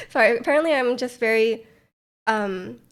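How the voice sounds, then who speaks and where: A woman's voice: fairly high pitch, normal speed. A young woman streamer with a stuffy, congested voice, chatting casually and rambling with lots of fillers. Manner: rambling, many "like" fillers, soft laughs. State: congested, nasal from a cold. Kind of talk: casual chat to live chat.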